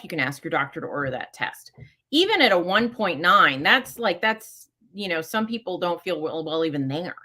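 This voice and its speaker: A woman's voice: lowish voice, sharp tone. A middle-aged woman hosting a live question-and-answer session, talking casually with many fillers and self-corrections. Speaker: host answering listener questions.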